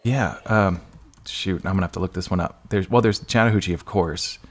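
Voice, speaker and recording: A man hosting a podcast interview in casual conversation, chatty, agreeable and quick to affirm. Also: sits low. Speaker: podcast host interviewing an author.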